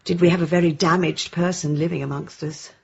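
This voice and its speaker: Soft-husky, genteel English vicar's wife. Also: female voice, lowish voice, steady tone, fairly quick, lo-fi sound. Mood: troubled, confiding. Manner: polite, gentle, apologetic. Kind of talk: radio drama acting.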